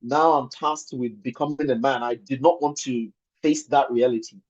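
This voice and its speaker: A Nigerian man in his thirties speaks with a husky, slightly grainy voice at an average male pitch. He presents informally, like someone telling his own story, often repeating a phrase in new words for emphasis. The sound is dull and lo-fi, as from an online call. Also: steady tone, normal speed. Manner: conversational, repeats and rephrases for emphasis. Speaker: webinar speaker. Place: online video call with screen sharing. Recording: muffled lo-fi online call audio.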